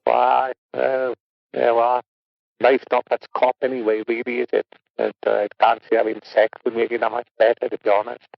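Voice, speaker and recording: Weary Welsh radio host, played by a man, gravelly and downbeat, muffled dry sound. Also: mid-range voice, level tone, normal speed, dry recording, lightly acted. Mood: resigned. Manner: downbeat, chummy. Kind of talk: comic phone-in chat.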